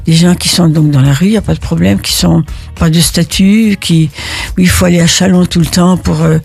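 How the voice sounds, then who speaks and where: An older retired woman from Italy, answering interview questions in a halting way, full of restarts. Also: on the low side, steady tone, gravelly, a little mellow, breathy edge. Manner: halting, with many restarts and fillers. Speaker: interviewee, neighborhood volunteer.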